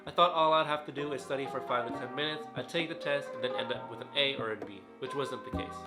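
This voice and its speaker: A young man vlogging, earnest and steady, sounding a little congested from a cold. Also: mid-range voice, quick pace. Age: young adult. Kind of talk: scripted reflective vlog. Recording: loud background music.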